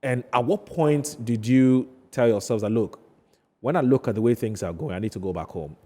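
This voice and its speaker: A man with a Ghanaian accent hosting a studio interview, phrasing things haltingly and often repeating himself. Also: mid-range voice, mellow. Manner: hesitant phrasing, repeats questions back. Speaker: TV talk show host.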